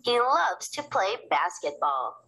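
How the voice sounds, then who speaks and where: A woman's voice: mid-range voice, very animated, slow, bright, forceful tone, slightly rough, low-quality recording. A woman reading a scripted biographical introduction for children.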